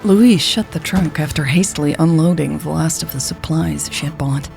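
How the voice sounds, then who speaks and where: A woman's voice: deep voice, moderate pace, vocal fry, darkish tone, soft background music. A woman reading a scripted story as a narrator, voicing the dialogue as she goes. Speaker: audio fiction narrator.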